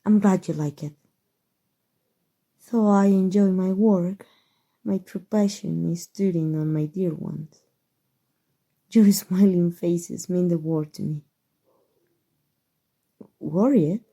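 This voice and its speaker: Woman voicing a breathy, slow-speaking elegant vampire lady. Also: fairly low pitch. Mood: doting, wistful. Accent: Mexican. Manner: soft, tender, formal and unhurried endearments. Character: elegant centuries-old female vampire, doting and gently possessive. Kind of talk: ASMR roleplay.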